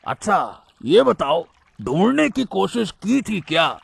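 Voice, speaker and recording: A man voicing a suspicious, threatening wrestler in a cartoon story, with a taunting, drawn-out, insinuating delivery. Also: sits high, big pitch swings, creaky, husky, no echo, full character voice. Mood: taunting suspicion. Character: suspicious, threatening male wrestler interrogating a boatman. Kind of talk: Hindi moral-story cartoon dubbing.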